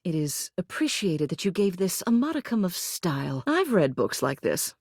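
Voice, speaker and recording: A woman's voice: fairly low pitch, big pitch swings, normal speed, darkish tone, no room sound, clean studio sound. A haughty, theatrical lady mayor. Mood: snobbish, amused. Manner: pompous, grand, formal, with affected endearments. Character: haughty, theatrical older lady mayor, a living household object. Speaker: voice actor in a video game. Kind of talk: scripted video game character lines.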